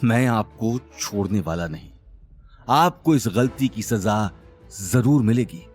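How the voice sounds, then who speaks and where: आदमी की आवाज़ में तिरस्कार भरा सख़्त कंपनी अफ़सर, उतार-चढ़ाव भरा लहजा. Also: male voice, lowish voice, expressive, slow pace, darkish tone, husky, faint music behind, full character voice. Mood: stern, threatening. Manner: scornful, emphatic, commanding. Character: angry, contemptuous male company executive, stern and threatening. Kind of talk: Hindi audio drama acting.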